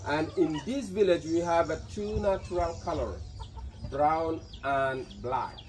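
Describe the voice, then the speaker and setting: A man's voice: fairly high pitch, a little slow, a little mellow. A man with an Indonesian accent, a local tour guide who casually explains pottery making to tourists, joking and apologizing. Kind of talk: guiding tourists, explaining a craft. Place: outdoors in a pottery village. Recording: distant outdoor camera sound, rooster noise. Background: roosters and village ambience.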